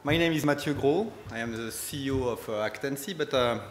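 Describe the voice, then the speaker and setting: Man, strong French accent, conference speaker, hesitant English, echoey hall, faint background noise. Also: medium pitch. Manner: hesitant, halting English with fillers. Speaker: conference speaker, company head. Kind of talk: conference presentation. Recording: echoey hall with long reverb.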